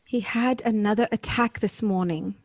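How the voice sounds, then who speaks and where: A woman's voice: mid-range voice, moderate pace, darkish tone, no room sound, close to an everyday voice. A woman playing an earnest, compassionate and troubled wife in a radio drama, speaking formally and questioningly. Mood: worried.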